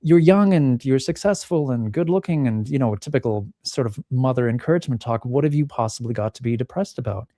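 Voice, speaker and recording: A man's voice: mid-range voice, animated, brisk, hardly any pauses, mellow, dry recording, clean studio sound. A middle-aged man from the Czech Republic speaking as a podcast guest, thoughtful and candid, often restarting phrases and acting out remembered lines. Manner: thoughtful, many restarts, acts out remembered quotes. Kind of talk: reflective interview talk.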